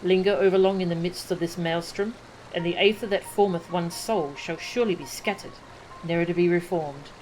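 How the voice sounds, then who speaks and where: A woman's voice: lowish voice, steady tone, a little fast, a little mellow, slight background noise, lightly acted, rough recording. A woman reading out a solemn, scholarly man's game lines in formal, old-fashioned speech. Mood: grave. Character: solemn scholarly man speaking archaic English. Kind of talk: reading game dialogue aloud.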